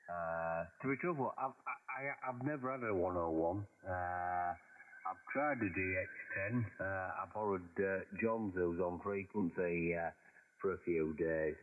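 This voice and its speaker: A man chatting casually over amateur radio, halting and hesitant with many uhs. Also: deep voice. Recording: narrow phone-like radio audio.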